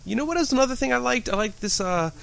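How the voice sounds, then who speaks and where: A man's voice: extremely high pitch, lively intonation, fast, creaky, a bit bright, clear, resonant tone. A man taking part in a casual podcast chat, talking loosely with lots of fillers. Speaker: podcast guest.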